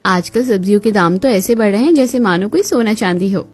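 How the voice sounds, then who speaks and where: A woman acting the dramatic, expressive daughter and chatty female relatives of a cartoon family story. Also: mid-range voice, a little fast, slightly rough, lightly acted. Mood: playful, exasperated. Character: young unmarried daughter and her chatty women relatives, expressive. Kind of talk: Hindi cartoon story dialogue. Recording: music bed behind the voice.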